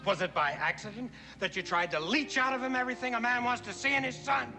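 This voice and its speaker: An actor playing a bitter, stubborn former sea captain, a husband and father, arguing forcefully in an old television western. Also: extremely high pitch, fast, music in the background. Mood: angry, bitter. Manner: forceful, argumentative. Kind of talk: 1960s TV western drama acting.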